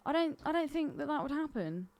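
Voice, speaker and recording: A woman chats casually on a film podcast, with a fairly high and very animated voice. She is opinionated and swears freely. The recording is dry, with little room sound. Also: fairly quick, no echo. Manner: sweary, opinionated, laughs readily. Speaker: podcast co-host.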